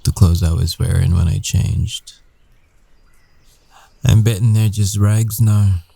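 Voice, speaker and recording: Casting: male actor as a secretive werewolf ex, low and slow, halting and earnest, intimate audio-roleplay delivery. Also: steady tone, creaky, dark tone, full character voice. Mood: weary, shaken. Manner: halting, hesitant, earnest, with long pauses. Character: guarded werewolf ex-boyfriend, young adult man, shaken, earnest, secretive. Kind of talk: intimate ASMR boyfriend roleplay acting.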